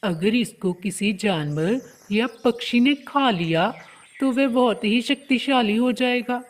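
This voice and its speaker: A woman voicing a kind, helpful young male bird in a children's cartoon, talking in a gentle, earnest, explanatory way. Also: fairly high pitch, expressive, unhurried. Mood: earnest, explaining. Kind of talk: children's cartoon story dialogue.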